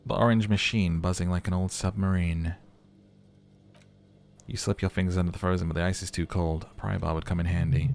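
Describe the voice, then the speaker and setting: A man's voice: low-pitched, steady tone, a little fast, creaky, darkish tone. A man reading game text aloud and chatting through a let's play, musing as he goes. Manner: chatty, musing aloud between narrated passages. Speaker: gaming commentator.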